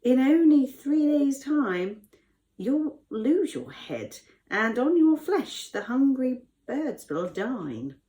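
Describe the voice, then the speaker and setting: A woman's voice: sits high, big pitch swings, takes it slowly, warm tone, pitched above the performer's own voice, heavily acted. Woman playing an earnest, declamatory dream interpreter. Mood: grave. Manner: declamatory, put-on accent. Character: earnest, kindly young man who interprets dreams.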